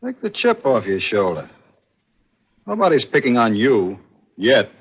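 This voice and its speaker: An actor playing a gruff, suspicious, blunt police detective in a 1940s radio thriller. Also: male voice, medium pitch, wildly swooping pitch, a little slow, full character voice. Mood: suspicious, needling. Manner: blunt, needling, matter-of-fact. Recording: muffled old radio recording.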